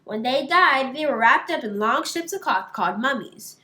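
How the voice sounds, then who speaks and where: Woman as a brisk ibis schoolteacher, high-pitched. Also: expressive, pushed and bright, roomy sound. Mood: instructive, inviting. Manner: clear, instructive classroom address. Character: brisk female ibis schoolteacher, orderly and instructive.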